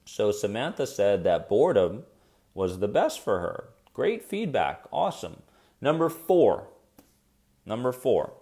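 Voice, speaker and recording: A man with a fairly low, soothing voice hosts a live coaching session. He talks casually and warmly to his viewers, encouraging them and answering their comments as they arrive. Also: big pitch swings, measured pace. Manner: chatty and encouraging, addresses viewers directly and reacts to their comments. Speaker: anxiety coach hosting a weekly live stream.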